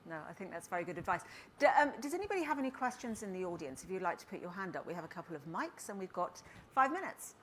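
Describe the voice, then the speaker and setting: A woman's voice: on the low side, sing-song, fast talker, few pauses, fairly bright tone, a little hiss or hum. A woman moderating a stage interview in a conference hall, asking questions in a hesitant, conversational way.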